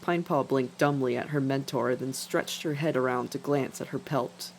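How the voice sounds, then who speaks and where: A woman's voice: extremely low pitch, moderate pace, slight background noise, low-quality recording. A woman reading scripted fiction as a dramatic narrator. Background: music.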